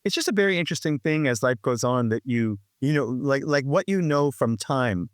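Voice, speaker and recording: A man's voice: medium pitch, animated, brisk, clear, resonant tone, no room sound. Man in his sixties, musician guest, reflective and rambling, restarts often, dry close sound. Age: 60s. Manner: rambling, full of restarts and "you know, like". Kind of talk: reflective conversational interview.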